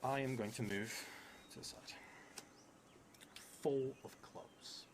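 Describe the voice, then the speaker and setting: A man in his thirties narrating a solo tabletop game on a stream, unhurried, thinking aloud and murmuring asides. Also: medium pitch. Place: indoor room at a theatre, at a table. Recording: noisy room with steady background noise.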